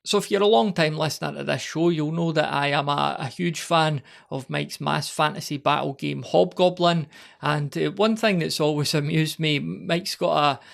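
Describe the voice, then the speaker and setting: A man's voice: on the high side, normal speed, gravelly. A man hosting a hobby podcast in a casual, informal, chatty way. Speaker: podcast host and interviewer.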